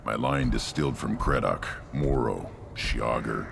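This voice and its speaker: An actor playing a huge, proud young alien brute in a video game, terse and haughty, with a gravelly growl. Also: male voice, very deep voice, huge pitch swings, a little slow, background noise, heavily acted. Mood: proud, defiant. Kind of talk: video game character acting.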